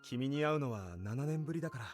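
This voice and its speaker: Guy voicing polite mysterious gentleman in otome game, soft manners, quick and lilting, music behind. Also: medium pitch, expressive, natural voice. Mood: gentle, wistful. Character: gentle, courteous young man, mysterious amusement park director. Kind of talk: Japanese visual novel voice acting.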